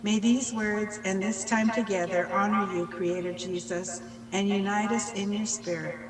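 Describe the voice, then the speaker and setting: A woman's voice: medium pitch, fairly even intonation, normal speed, far from the mic. Older woman, reverent, in an echoey hall. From Pine Ridge Reservation, United States. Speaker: workshop speaker leading prayer. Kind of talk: slow prayer and self-introduction.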